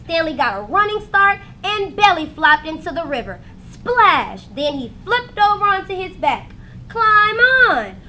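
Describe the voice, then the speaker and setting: A woman reads a children's story aloud in a high, bright voice. Her delivery swings widely and expressively, leaning into dramatic moments for young listeners. Faint noise sits behind her. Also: big pitch swings, normal speed, few pauses. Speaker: teacher reading aloud to students. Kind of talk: animated children's storybook narration.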